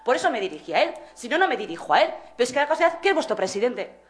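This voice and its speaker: High-pitched, fast, indignant woman. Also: very animated. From Basque Country, Spain. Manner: indignant, insistent, keeps repeating "¿eh?". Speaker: resident speaking at a public council meeting. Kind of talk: heated argument.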